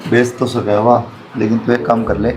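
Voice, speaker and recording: An actor playing a skeptical, dismissive village man in a comedy sketch, talking gruffly in a Haryanvi accent. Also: mid-range voice, flat delivery, moderate pace, darkish tone, natural voice, poor audio.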